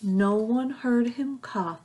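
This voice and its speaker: Slow, deliberate woman reading a storybook. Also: fairly high pitch. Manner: expressive, deliberate, rhythmic phrasing for children. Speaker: teacher reading a picture book aloud. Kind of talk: children's storybook read-aloud.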